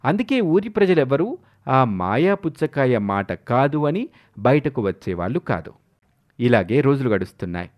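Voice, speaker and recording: Man narrating a tale, medium pitch. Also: highs cut off. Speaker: story narrator. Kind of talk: scripted dramatic moral-story narration.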